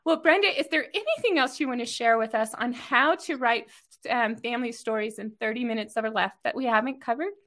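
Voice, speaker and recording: Chatty American woman with a higher voice. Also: a little fast, fairly bright tone. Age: middle-aged. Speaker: host interviewing a guest. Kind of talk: conversational interview and personal storytelling. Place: home office, over a video call.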